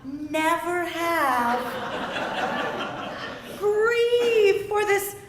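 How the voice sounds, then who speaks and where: A woman playing an overearnest female doctor or therapist at a live script read, grave and deliberate. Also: sing-song, full character voice. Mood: mournful, overwrought. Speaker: actor at a screenplay table read. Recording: roomy, distant mic, faint rumbling noise.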